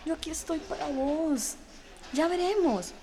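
A woman's voice: high voice, animated, a little slow, warm tone. An actress with a Costa Rican accent playing a loving, supportive young girlfriend in intimate radio drama dialogue, speaking reassuringly. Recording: clear background noise.

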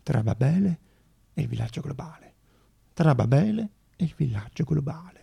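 A man performing a theatrical monologue as a storyteller, with a raspy, gravelly voice and dramatic delivery full of deliberate pauses. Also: on the high side, expressive, warm tone. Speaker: stage actor and storyteller.

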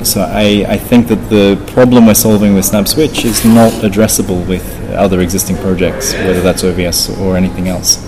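A man's voice: low-pitched, flat delivery, normal speed, hardly any pauses, noisy background. A man giving technical interview answers as a guest, often repeating and rephrasing as he explains. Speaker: interview guest, open source project founder. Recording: busy crowd chatter behind the voice. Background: crowd of people talking.

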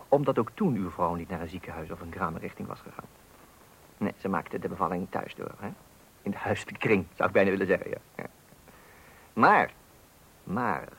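A man's voice: sits low, fairly bright tone, slightly rough, exaggerated voice, poor audio. A man playing a wry fatherly narrator in a radio play, addressing men with pompous mock solemnity on a hissy old recording.

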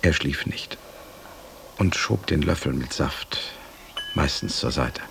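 An actor playing a sober companion who narrates a literary radio play in a grave, measured way. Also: male voice, a bass voice, vocal fry, background noise, full character voice. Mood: grave, restrained. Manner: measured, grave, hushed at phrase ends. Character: sober male companion recounting a master's death.

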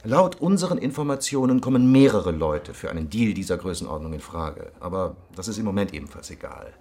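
An actor playing a hard-nosed police investigator in a radio crime drama, sardonic, commanding and cutting. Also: male voice, low voice, very animated, brisk, warm tone.

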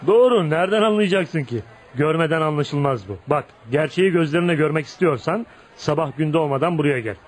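A man's voice: fairly high pitch, lively intonation, a little fast. A man acting in a Turkish radio play as a tough, streetwise young dockworker who hides his kindness behind blunt, swaggering talk. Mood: cocky, friendly. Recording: noisy radio drama recording.

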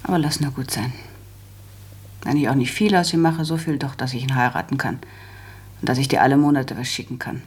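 An actress playing a self-assured, practical daughter in a German radio drama, speaking in a brisk, matter-of-fact, managing way. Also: female voice, extremely low pitch, fairly even intonation. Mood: resolute.

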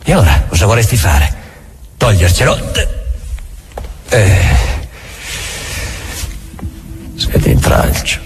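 An imperious old churchman acted by a man delivers a scornful stage monologue in a fairly low voice. He leans on dramatic pauses and pointed questions, with music clearly playing underneath. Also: sing-song. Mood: bitter, accusing. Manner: theatrical, dramatic pauses, insistent rhetorical questions.

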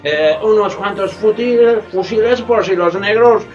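A man's voice: sits high, measured pace, lo-fi sound. A man playing a boastful, greedy sea captain and slave trader in a radio play, theatrical and stammering. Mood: gleeful. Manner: theatrical, stammering, peppers speech with English words. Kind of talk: community radio theatre acting. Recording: music bed behind the voice.

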